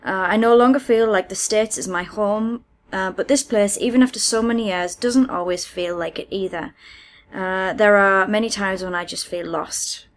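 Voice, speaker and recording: Woman, British accent, podcast co-host, halting phrasing, conversational, mid-range voice. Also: medium pitch, fairly even intonation. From United Kingdom. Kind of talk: reading listener messages aloud and chatting.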